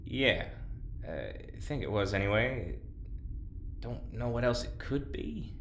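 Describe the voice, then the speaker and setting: A man playing a weary, wry patient in an audio drama, talking in a hesitant, halting way. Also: medium pitch, lively intonation, echoey room, a little hiss or hum, natural voice. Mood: wry, explaining. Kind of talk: naturalistic audio drama acting.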